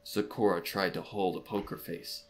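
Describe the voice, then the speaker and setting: A man reading scripted narration for a dark fan fiction mystery story. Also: low voice, little pitch movement, moderate pace, a little hiss or hum. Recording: music under the voice.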